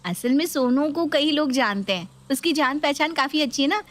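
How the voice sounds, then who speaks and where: Woman voicing a dutiful young daughter-in-law, high-pitched. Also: animated, normal speed. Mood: placating. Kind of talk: dramatised Hindi story video.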